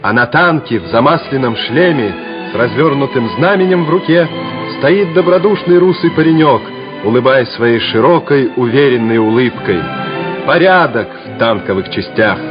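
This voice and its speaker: A man narrating a Soviet war radio play in a measured, solemn, declamatory manner. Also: medium pitch, animated, close to an everyday voice. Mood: exultant, proud. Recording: dull vintage radio play recording, loud music. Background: music.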